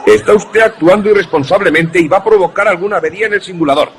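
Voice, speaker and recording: Casting: stern air force colonel, acted by a man, fairly high male voice, formal and clipped, suspense radio play. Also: quick pace, close to an everyday voice. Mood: stern, reprimanding. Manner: formal, clipped military commands. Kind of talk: suspense radio drama acting.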